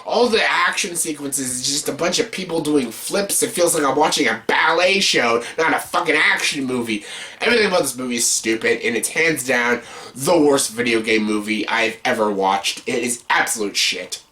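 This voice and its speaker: A man's voice: medium pitch, normal speed, sharp tone. A man hosting an opinionated, unscripted countdown review, speaking bluntly and emphatically in an exasperated way, with casual swearing and words repeated for stress. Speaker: host of a movie countdown video.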